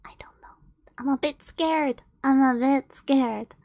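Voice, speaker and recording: A woman's voice: high voice, a little slow, darkish tone, no echo. A young woman streams and reads fanfiction aloud in a giggly, flustered way, with frequent laughs. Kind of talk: casual stream chat and read-aloud.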